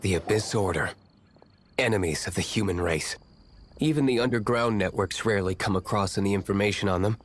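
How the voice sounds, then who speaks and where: Man playing a low, cool, serious gentleman. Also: a little fast, natural voice. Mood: grave, cool. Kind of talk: English video game voice acting.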